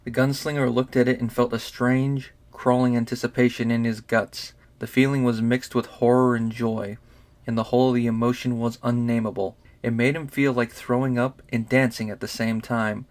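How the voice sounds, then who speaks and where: A man reading fiction aloud as narrator in a dramatic audiobook style, at a medium pitch. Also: flat delivery, moderate pace, darkish tone. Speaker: narrator, fan reader.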